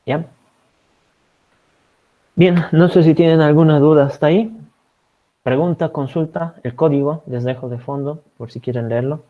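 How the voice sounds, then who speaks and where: A man's voice: fairly high pitch, fairly even intonation, normal speed, pauses often. A man from Bolivia teaching an online programming class as a teaching assistant. He explains code step by step and keeps checking in with his students. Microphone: video call. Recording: slightly dull video-call audio.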